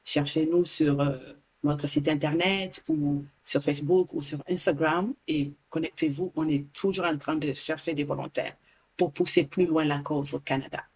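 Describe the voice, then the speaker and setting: A woman's voice: low voice, moderate pace, husky. Earnest woman advocate. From Niger. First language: Hausa and Zarma. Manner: earnest, animated, repeats words. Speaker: guest, patient advocacy leader. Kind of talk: podcast interview.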